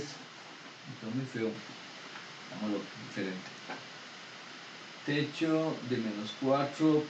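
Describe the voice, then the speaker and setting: Man, math lecturer, fairly high voice, explains step by step, quizzes students, roomy classroom, distant dull mic. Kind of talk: university lecture. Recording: echoey room, distant dull mic, faint noise.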